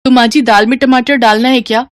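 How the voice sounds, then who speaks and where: A woman's voice: fairly high pitch, fairly even intonation, fairly quick, no room sound, voice pushed up, close to an everyday voice. Casting: woman as overworked young housewife, obliging and harried, cartoon morality story, close dry sound. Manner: eager to please, harried. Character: dutiful, overworked young daughter-in-law and mother.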